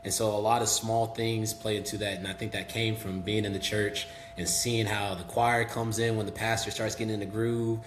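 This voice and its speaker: Southern man, low voice, echoey room. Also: moderate pace, hardly any pauses. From Memphis, Tennessee. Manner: relaxed, talkative, sprinkled with "um" and "you know". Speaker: guest rapper. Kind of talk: casual podcast interview. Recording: echoey room with faint hum.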